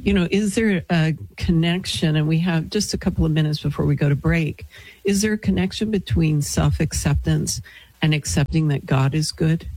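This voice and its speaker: A woman's voice: low-pitched, moderate pace, darkish tone, no room sound, low-quality recording. A woman co-hosting a talk show, earnestly asking the guest questions. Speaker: co-host. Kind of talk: interview questions.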